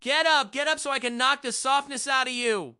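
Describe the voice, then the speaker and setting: High bright voice, someone acting a sneering young bully, aggressive, taunting, amateur audio drama. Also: fairly quick, smooth.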